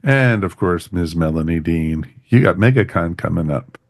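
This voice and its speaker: A man's voice: low voice, lively intonation, normal speed, no room sound, clean studio sound. A man hosting a podcast in a casual, informal way, chatting through plugs and sign-offs with folksy catchphrases. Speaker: podcast host.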